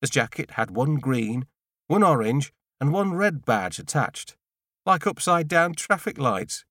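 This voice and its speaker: A man reading a first-person novel in an understated, dry, clearly spoken storytelling manner. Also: mid-range voice, very animated, measured pace, fairly bright tone, no room sound. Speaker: audiobook narrator.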